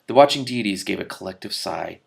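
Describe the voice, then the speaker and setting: Guy reading a fantasy book out loud, deep gravelly voice, chats a bit as he goes. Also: lively intonation, fairly quick. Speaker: reader and commentator. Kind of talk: reading a fantasy novel aloud with casual commentary.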